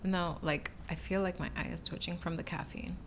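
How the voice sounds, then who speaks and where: A woman's voice: lowish voice, steady tone, brisk, slight background noise. A young woman with a New York accent, co-hosting a casual podcast in a playful way. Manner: playful, backs up her co-host. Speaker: podcast co-host. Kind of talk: casual chat.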